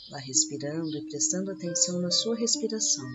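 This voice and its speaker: A Brazilian woman narrating a scripted guided meditation as the guide, with slow, soothing, instructive phrasing. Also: on the low side, expressive. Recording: dull lo-fi audio under loud music. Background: loud music with birdsong.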